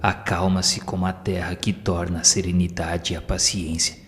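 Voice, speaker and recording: Low Brazilian male narrator in long reverb. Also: level tone, moderate pace. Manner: solemn, formal literary delivery. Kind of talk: scripted audio novel narration. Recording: long reverb over music. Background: music.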